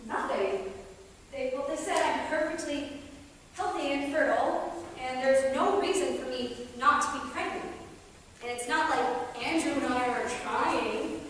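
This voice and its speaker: A woman's voice: high voice, measured pace, a bit bright. A woman playing an anxious, frustrated young married woman in a staged play reading, with earnest, emotional delivery. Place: theatre stage, distant microphone. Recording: very echoey hall from far off, noisy.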